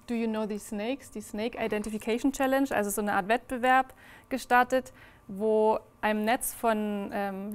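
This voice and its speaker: Hesitant young woman presenting. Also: on the high side. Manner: hesitant, many fillers and restarts. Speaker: project presenter. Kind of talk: presentation talk. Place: indoor reading room, in front of a small audience.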